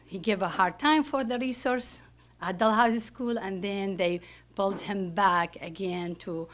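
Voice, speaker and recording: A woman in her forties from Iraq, speaking English, giving a personal speech at a podium in a school classroom, often pausing to search for words. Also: medium pitch. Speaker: parent speaker. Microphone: podium microphone.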